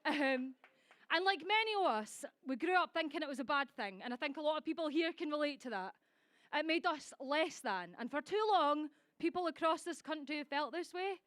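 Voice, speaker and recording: A woman's voice: high voice, expressive, normal speed, fairly bright tone, dry recording, clean studio sound. A young woman writer from Dundee with a Dundonian Scots accent, giving an earnest, conversational speech at a rally. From Dundee, Scotland. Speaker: writer and poet. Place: outdoor rally stage.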